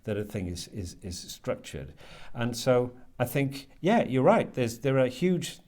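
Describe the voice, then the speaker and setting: A man answering interview questions as a writer and lecturer, thinking aloud haltingly and often repeating words as he works out his ideas. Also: medium pitch, very animated, darkish tone. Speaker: guest, creative writing lecturer and writer.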